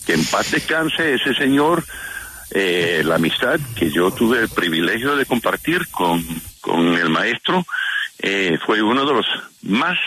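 An older American man talking as a radio guest, his Spanish colored by an American accent and his native English. His fairly low voice swings widely in pitch and has a bright, slightly husky edge. He is chatty and expressive, with lots of fillers as he tells his stories. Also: takes it slowly. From New York, USA. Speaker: former diplomat, interview guest. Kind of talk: warm radio interview answers.

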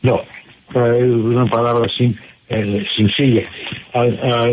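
Slow, hesitant man. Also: mid-range voice, little pitch movement, slight background noise, rough recording. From Michigan, USA. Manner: hesitant, many fillers and restarts, searching for words. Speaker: ambassador, interview guest. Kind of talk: halting interview answers in Spanish.

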